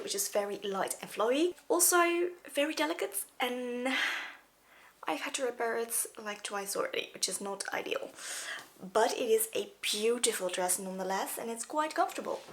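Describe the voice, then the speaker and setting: A woman's voice: medium pitch, slight background noise. A young woman from the Netherlands, chatting casually through a clothing walkthrough with fillers and asides while she is losing her voice. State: losing her voice, sore throat. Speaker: fashion vlogger. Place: indoors, small plain room.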